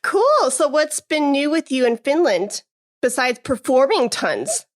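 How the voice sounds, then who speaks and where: A woman's voice: high voice, big pitch swings, measured pace. A woman from Chicago hosting a podcast, upbeat and encouraging, laughing often with her guest. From Chicago, USA. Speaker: podcast host. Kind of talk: podcast interview.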